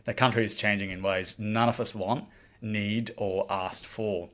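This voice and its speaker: A man's voice: on the low side, fairly even intonation, unhurried, fairly bright tone. A man from the Maldives hosting a livestream, giving earnest opinionated commentary and reading out viewer comments, with frequent restarts. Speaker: livestream host.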